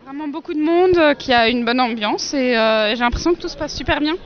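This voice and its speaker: Soft-spoken woman at a festival. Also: sits high, fairly quick, muffled recording. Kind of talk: casual interview answers. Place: outdoor festival.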